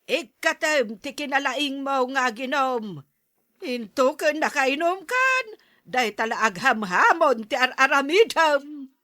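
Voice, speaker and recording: Woman acting a slow, bright-voiced scolding Ilocano mother. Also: sits high, expressive, dry recording, studio quality, exaggerated voice. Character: scolding mother of a drunkard, exasperated. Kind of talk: Ilocano radio-style drama acting.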